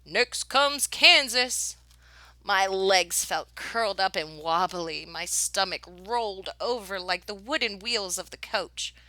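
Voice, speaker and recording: A woman reading a children's picture book aloud as a teacher would, with expressive, deliberate phrasing. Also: medium pitch, dry recording, poor audio. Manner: animated, deliberate phrasing for young listeners. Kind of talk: expressive children's storybook narration.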